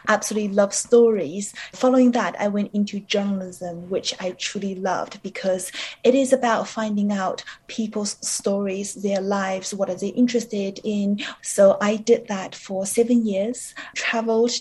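Husky, earnest woman in her thirties. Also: medium pitch, steady tone, moderate pace, darkish tone, rough recording. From born in China, raised in New Zealand from age twelve. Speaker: podcast guest, communications specialist. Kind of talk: conversational interview answers.

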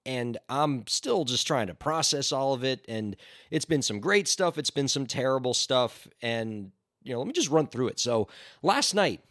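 A hoarse man with laryngitis hosts his podcast in a chatty, earnest monologue. His pitch rises and falls a lot as he talks. The sound is dry and close, with no room echo. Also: very animated, moderate pace, a bit bright. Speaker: podcast host. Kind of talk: casual solo podcast talk.

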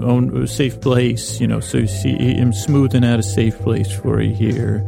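Creaky, flat-toned man rambling softly. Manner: rambling, halting, self-correcting; soothing, lulling, low-key. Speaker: sleep podcast host. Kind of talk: meandering bedtime monologue.

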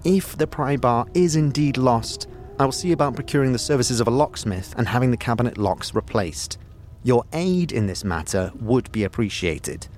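A man's voice: mid-range voice, animated, moderate pace, darkish tone, slight background noise, voice pushed up, lightly acted. A man voicing a formal, fussy, exasperated mortuary caretaker reading out a written request in an audio drama.

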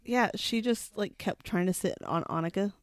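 A woman's voice: mid-range voice, normal speed, no room sound. A woman co-hosting a podcast in casual chat, with a slightly congested sound. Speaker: podcast co-host.

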